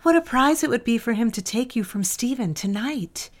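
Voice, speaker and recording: A woman's voice: fairly high pitch, lively intonation, normal speed. An actress playing a worldly, motherly female office confidante who speaks in a soothing, confiding, gossipy way. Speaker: office coworker and former secretary. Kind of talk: audio drama acting.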